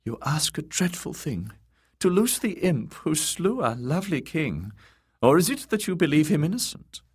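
Male eunuch spymaster, fluttery, simpering, high and breathy, husky edge. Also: very animated, moderate pace. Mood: sly. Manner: fluttery, fawning, affected courtesy.

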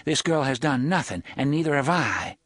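A man's voice: a little high, lively intonation, moderate pace, a bit bright, dry recording, clean studio sound, pitched above the performer's own voice. A man voicing a firm older woman who asks to be let through.

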